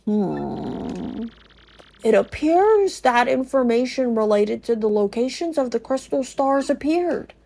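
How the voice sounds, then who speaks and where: A woman's voice: a little high, very animated, slow pace, gravelly, dark tone, heavily acted, low-quality recording. A woman acts out an older male professor creature from a cartoon game. He is scholarly and fussy. He ponders, then explains in formal, earnest phrases. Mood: pondering.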